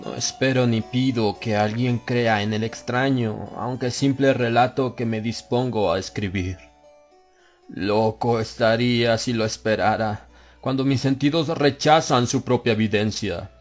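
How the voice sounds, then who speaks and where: A man narrating a horror tale as an audiobook, in a grave and measured way, stretching key phrases out slowly. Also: medium pitch, steady tone. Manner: grave, measured delivery with drawn-out slow phrases. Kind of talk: dramatic horror audiobook reading. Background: faint harpsichord music.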